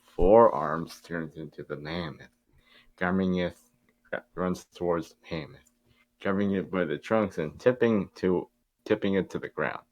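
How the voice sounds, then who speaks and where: Low-voiced man narrating a script, dry sound. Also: measured pace, no room sound, muffled recording. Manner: informal, stumbles over the script. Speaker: narrator of a table read. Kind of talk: reading script stage directions aloud.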